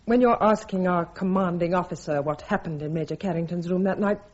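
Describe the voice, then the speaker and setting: An actress playing a wry, self-possessed British army captain with a dry, ironic manner in a radio drama. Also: female voice, on the low side, brisk, darkish tone, natural voice. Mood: dry, teasing. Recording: dull lo-fi radio drama recording.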